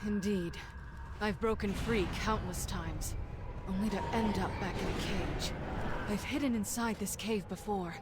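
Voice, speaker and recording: A woman's voice: medium pitch, measured pace, heavy background noise, close to an everyday voice. A woman playing a resolute, troubled human mage leader in a fantasy video game, earnest and commanding. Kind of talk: video game drama acting. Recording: loud music behind.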